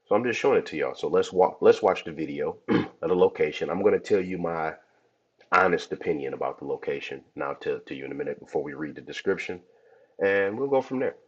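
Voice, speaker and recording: Casual podcast host: very low, husky, hoarse male voice, chatty, dull lo-fi sound. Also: lively intonation, a little fast. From Cleveland, Ohio. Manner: chatty, addresses listeners as y'all. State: hoarse from a cold. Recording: dull lo-fi audio.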